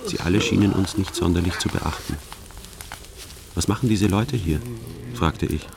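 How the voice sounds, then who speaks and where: A world-weary, secretive army sergeant in a radio drama. His voice is very deep and creaky, with a measured, matter-of-fact delivery. Clear ambient noise sits behind it. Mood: dry, measured. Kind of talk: radio drama, dry first-person narration.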